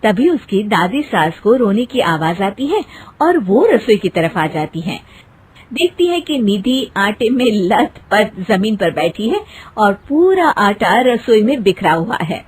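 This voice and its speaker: A woman's voice: mid-range voice, big pitch swings, measured pace, slightly rough, poor audio. A woman narrator telling a scripted cartoon family story in the present tense.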